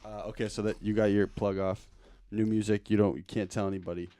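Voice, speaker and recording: A man's voice: fairly low pitch, little pitch movement, brisk, mellow. A man hosting an interview as casual chat, talking in a slangy, buddy-like way. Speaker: interview host.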